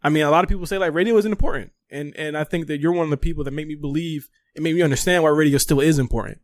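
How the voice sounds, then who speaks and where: A man hosting a casual podcast, telling stories and asking questions in an animated, slangy way with free swearing. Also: a little high, very fast, dry recording, clean studio sound. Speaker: podcast host interviewing a guest. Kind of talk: casual storytelling and interview chat.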